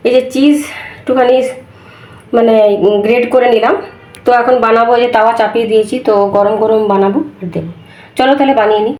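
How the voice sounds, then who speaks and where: A woman with an Indian Bengali accent chatting casually for a family vlog, often repeating her phrases. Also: on the high side. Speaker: family vlogger. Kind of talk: casual home vlog chat. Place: indoors at home. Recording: roomy echo, loud household background noise.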